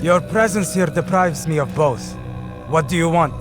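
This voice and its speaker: Man acting a high-pitched, sardonic bureau keeper. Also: normal speed, music in the background, natural voice. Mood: scornful. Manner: sarcastic, biting, contemptuous. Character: bitter, sardonic male assassins' bureau keeper. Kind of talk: dramatic video game acting.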